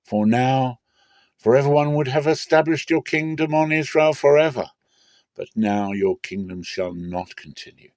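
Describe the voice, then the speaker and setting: A man's voice: mid-range voice, normal speed, darkish tone, dry recording, voice pushed up, close to an everyday voice. Man acting a stern prophet passing judgement. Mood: stern, rebuking. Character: stern male prophet rebuking a disobedient king. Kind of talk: dramatic scripture reading.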